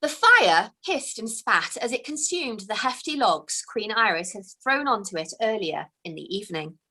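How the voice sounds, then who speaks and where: A woman's voice: mid-range voice, pushed and bright. Woman reading a suspenseful storybook aloud. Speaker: author reading her book aloud. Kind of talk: storybook read-aloud narration.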